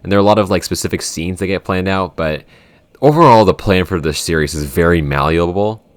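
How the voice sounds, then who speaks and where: A man with an extremely deep voice chats as a podcast co-creator. His delivery is informal and unscripted, with loose phrasing as he works out his answers aloud. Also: a little fast. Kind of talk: casual unscripted behind-the-scenes chat.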